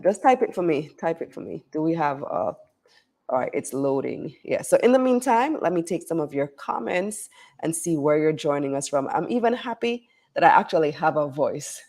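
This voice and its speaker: A woman with a lowish voice that is hoarse and stuffy from a cold. She hosts a live show over a video call, chatty and upbeat as she greets viewers. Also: a little mellow. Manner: chatty, upbeat, reads viewer comments aloud. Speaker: show host. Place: hotel or conference venue, traveling.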